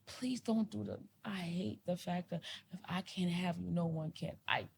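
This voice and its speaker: A woman's voice: on the low side, normal speed, gravelly, a little mellow, a little hiss or hum. A woman co-hosting a podcast, speaking with passion, repeating herself as she pleads with listeners. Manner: impassioned, repetitive, pleading. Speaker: podcast co-host. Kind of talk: earnest podcast discussion.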